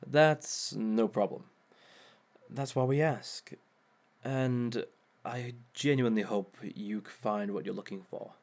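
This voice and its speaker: A suave villain, played by a man, lectures a seminar with a gravelly, fry-edged voice at a mid-range pitch. He takes his time and drawls each point, dry and sardonic, slipping in small amused laughs. It is an audio roleplay monologue. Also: darkish tone. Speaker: villain instructor.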